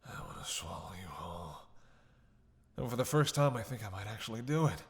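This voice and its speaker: A man playing a starving captive vampire in an audio roleplay, halting and breathy, weak, wry and desperate. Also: lowish voice, lively intonation. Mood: bitter, intense. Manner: halting, wry, intimate address to listener. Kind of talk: dramatic audio roleplay monologue.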